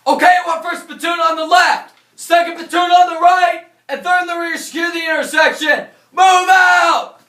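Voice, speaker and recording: A man playing a declamatory American paratrooper officer, high-voiced, on a distant stage in a war play in an echoing hall. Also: steady tone, moderate pace, pushed and bright. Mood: urgent, commanding. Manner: declamatory, bellows battle orders. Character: dutiful, battle-tested American paratrooper officer recalling combat. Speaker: actor in a war drama. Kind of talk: theatrical monologue and dialogue. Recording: echoey room from far off, noisy.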